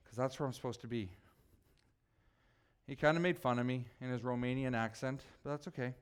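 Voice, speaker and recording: A man preaching a relaxed, conversational sermon, joking with his congregation and teasing people by name. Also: mid-range voice, brisk, smooth. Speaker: pastor.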